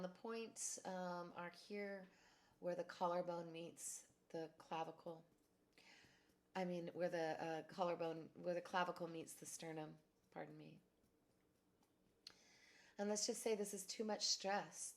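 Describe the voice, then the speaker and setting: Slow, low-voiced woman guiding a tapping session. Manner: slow, calm guiding with phrases to repeat. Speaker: tapping practitioner. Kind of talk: guided tapping exercise.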